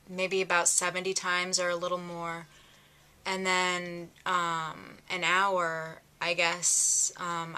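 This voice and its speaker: A nineteen-year-old woman, a college freshman, answering interview questions slowly with many pauses. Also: fairly low pitch, bright, forceful tone, smooth. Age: teens. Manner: slow, halting, many ums.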